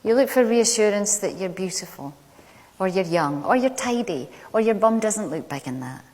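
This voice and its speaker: A middle-aged woman with a Glaswegian Scottish accent gives a prepared stage talk, telling stories in a measured, conversational way with deliberate pauses. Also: medium pitch, expressive, a little mellow. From Glasgow, Scotland. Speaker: public speaker. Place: large indoor stage venue. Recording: echoey hall, long reverb.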